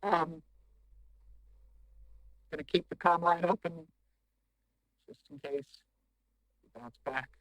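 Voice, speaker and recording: A man's voice: on the high side, takes it slowly, pauses often, fairly bright tone, dry recording, rough recording. A man playing a desperate, shaken corporate agent stranded after a crash, stammering and pleading in a recorded sci-fi distress message. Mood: weary, hopeless.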